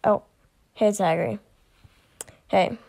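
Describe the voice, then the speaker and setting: A woman voicing amateur character dialogue for an animated story. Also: medium pitch, slow, gravelly, slightly rough. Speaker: creator voicing all characters of an animated series.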